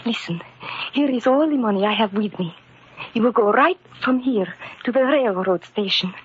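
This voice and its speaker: High-voiced, anxious immigrant wife. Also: female voice, animated, normal speed, husky. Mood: sorrowful. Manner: formal phrasing, melodramatic. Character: anxious, devoted immigrant wife, urgent and protective. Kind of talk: old-time radio drama acting. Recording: muffled vintage radio recording.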